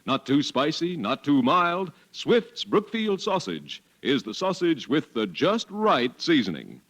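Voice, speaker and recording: A man's voice: a little high, big pitch swings, a little slow, a bit bright. A man with an American accent reading as a polished, emphatic announcer in 1940s radio style. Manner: polished, emphatic salesman delivery. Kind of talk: 1940s radio sponsor read and scripted narration.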